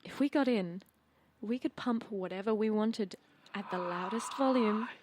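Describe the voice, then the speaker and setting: A woman playing a cool, beleaguered rocker making a deal, speaking in a measured, sardonic way in a tabletop roleplaying game. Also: medium pitch, background noise, lightly acted. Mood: exasperated. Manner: dry, measured, exasperated. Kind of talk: improvised in-character tabletop roleplay.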